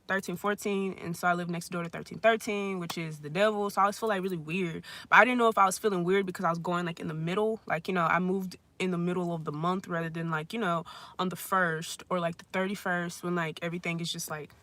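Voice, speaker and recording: Bright, forceful young vlogger rambling. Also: mid-range voice. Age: 20s. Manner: rambling, heavy use of "like" fillers; blunt and profane. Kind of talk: casual storytime rant. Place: friend's house.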